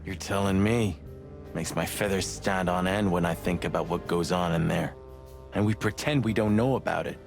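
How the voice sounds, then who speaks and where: A streetwise rooster cop sidekick, with a lowish voice. He is sardonic and needling but loyal, in a noir detective game with prominent music underneath. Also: moderate pace, gravelly. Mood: grim, bitter. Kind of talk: film noir game voice acting. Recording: music behind the voice.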